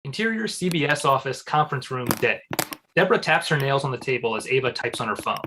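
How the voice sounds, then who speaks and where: A man's voice: medium pitch, lively intonation, fast talker, noisy background. A man reading screenplay stage directions as scripted narration at a comedy table read.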